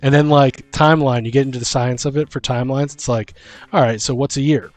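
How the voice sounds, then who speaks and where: A man's voice: mid-range voice, steady tone, fast talker, dry recording. A man hosting a casual chat about tabletop games as game master, talking in a rambling, slangy, informal way. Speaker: podcast host and game master. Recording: music behind the voice. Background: music.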